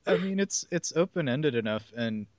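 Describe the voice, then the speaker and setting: A man's voice: a little high, very animated, measured pace, no echo. A man hosting a podcast, chatting in an informal, agreeable, slightly rambling way. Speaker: podcast host. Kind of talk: casual chat.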